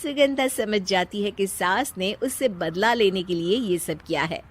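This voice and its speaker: A woman's voice: medium pitch, a little slow. A woman narrating a scripted story, playfully addressing her listeners.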